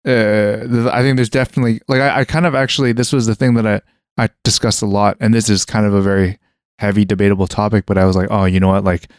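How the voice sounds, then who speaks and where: A young man with a fairly low voice muses aloud in a relaxed conversation. He often restarts sentences and leans on "like" as he gropes for his point. The sound is dry and close to the mic. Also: fast talker, clean tone, no echo. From Western world (Canada). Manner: hesitant, many restarts and "like" fillers, thinking aloud. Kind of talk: casual conversation.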